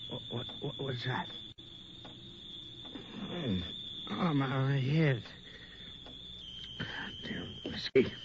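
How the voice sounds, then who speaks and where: An actor playing a bitter, drunken manservant in a theatrical radio horror drama, muttering to himself. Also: male voice, mid-range voice, extremely slow, long pauses. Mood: groggy, uneasy. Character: bitter drunken manservant, resentful, then terror-stricken by a ghost. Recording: muffled radio drama recording, faint noise.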